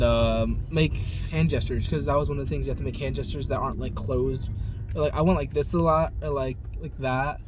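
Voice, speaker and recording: A young man vlogging in a loose, rambling way, swearing freely and filling gaps with likes and ums. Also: mid-range voice. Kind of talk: casual vlog chat. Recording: noisy background ambience.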